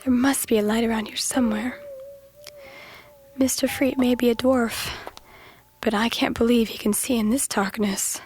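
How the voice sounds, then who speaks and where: A woman playing a brave, curious young woman in an audio drama, thinking aloud in hushed, breathy tones. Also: a little high, steady tone, moderate pace, lightly acted. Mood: hushed, wary. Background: music.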